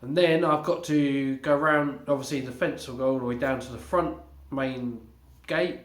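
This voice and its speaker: A man vlogging a casual home update, a little congested from illness, rambling with halting restarts and fillers. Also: medium pitch, fairly even intonation. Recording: echoey room.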